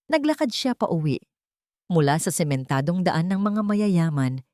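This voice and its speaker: Filipino woman narrating drama in a lowish voice. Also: no room sound, studio quality. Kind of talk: dramatic scripted story narration.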